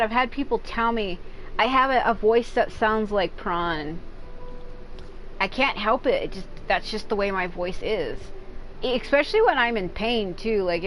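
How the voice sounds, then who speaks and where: A woman game streamer chatting casually and rambling while she plays, frank and profane, with loud silly outbursts. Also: on the high side, a little hiss or hum, rough recording.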